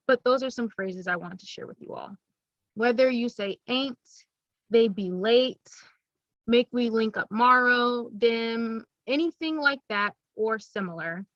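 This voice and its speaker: A woman's voice: a little high, a bit bright, no room sound, highs cut off. A young woman giving an upbeat, teacherly presentation to schoolchildren, explaining things plainly. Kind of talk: recorded classroom presentation.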